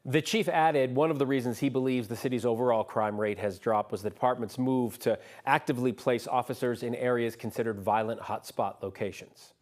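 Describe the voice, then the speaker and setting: A male news anchor reads the day's stories in a steady, measured way. His voice sits at an ordinary middle pitch. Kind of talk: scripted news reading.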